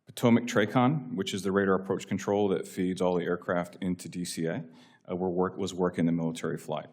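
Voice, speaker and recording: A man's voice: sits low, steady tone, brisk, darkish tone. An American man giving formal testimony as an agency official, with deferential, explanatory phrasing. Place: legislative hearing room.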